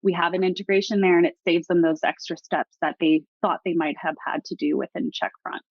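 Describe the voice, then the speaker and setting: A fairly low-pitched woman speaks on dull, dry, lo-fi call audio. She talks about her product in an upbeat, promotional way. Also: fairly even intonation, fairly quick, dry recording. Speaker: customer success staff. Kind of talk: casual interview answer.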